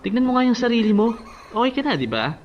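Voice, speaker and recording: An actor playing a devoted, encouraging suitor in a Filipino radio drama, speaking earnestly and persuasively. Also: male voice, extremely high pitch, sing-song, quick pace, highs cut off, slight background noise. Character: devoted, encouraging male suitor Alan, a father. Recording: faint music behind the voice.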